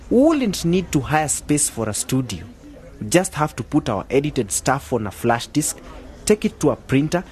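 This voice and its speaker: An actor playing an earnest youngster in an educational radio drama, explaining ideas to friends in a reasoning manner. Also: male voice, on the high side, darkish tone.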